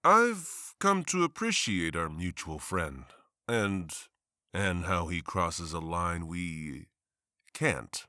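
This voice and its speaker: A man's voice: low voice, big pitch swings, slow, pushed and bright, no echo, clean studio sound, full character voice. A man playing a driven, bitter district attorney who speaks sardonically and heatedly. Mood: sardonic.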